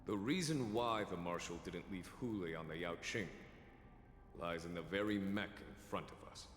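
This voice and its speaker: Bright, echoing low-voiced general. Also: male voice, slight background noise. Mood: grave, measured. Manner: measured, solemn exposition, booming battle cries. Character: composed male general warrior, grave, commanding in battle. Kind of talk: scripted video game voice acting. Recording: echoey hall reverb, music underneath.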